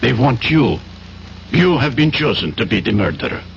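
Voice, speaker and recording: An actor playing a cold, implacable Soviet agent in a radio drama, formal and deliberate in his menace. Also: mid-range voice, big pitch swings, creaky. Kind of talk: 1970s radio drama acting. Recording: dull, noisy old radio broadcast.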